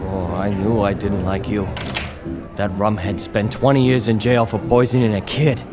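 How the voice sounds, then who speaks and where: A man's voice: lowish voice, fairly even intonation, normal speed, close to an everyday voice. An actor playing a surly, threatening bartender with belligerent, wisecracking tough-guy talk in a radio play. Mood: angry, threatening. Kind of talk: old-time radio drama acting. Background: music.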